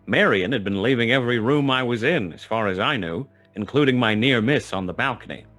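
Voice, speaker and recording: An actor playing a wry, laconic private detective who narrates a noir radio play. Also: male voice, medium pitch, animated, a little fast, a bit bright, faint music behind. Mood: wry, tense narration. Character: hard-boiled male private detective narrating his own story.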